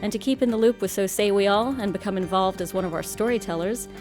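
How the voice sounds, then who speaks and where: Female horror-radio host, mid-pitched, theatrical scripted announcing over soft music. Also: fast talker. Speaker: host and producer of a horror audio show.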